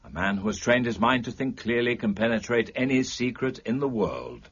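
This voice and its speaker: An actor playing a cool, precise gentleman detective in a period radio drama, measured, formal and dryly teasing. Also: mid-range voice, animated, slightly rough, muffled recording. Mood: coolly analytical. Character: cool, precise gentleman amateur detective, wry and self-assured.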